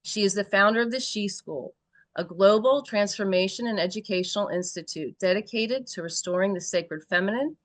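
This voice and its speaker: A woman's voice: lowish voice, dry recording. A woman host steadily reading out a guest speaker's introduction. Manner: steady, even reading of a bio. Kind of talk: read-out introduction.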